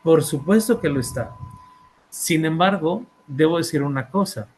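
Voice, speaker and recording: A man's voice: fairly high pitch, lively intonation, normal speed, darkish tone, a little hiss or hum, poor audio. A man with a Mexican accent presenting his book in an academic talk, hesitating often with fillers and repeated words and checking in with his listeners. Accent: Mexican Spanish. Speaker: author and therapist presenting a book.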